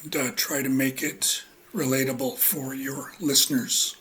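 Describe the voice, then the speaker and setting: Slow, husky-voiced male English teacher. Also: mid-range voice, flat delivery, pushed and bright. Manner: slow, halting, with many ums and uhs. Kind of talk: relaxed spoken lecture.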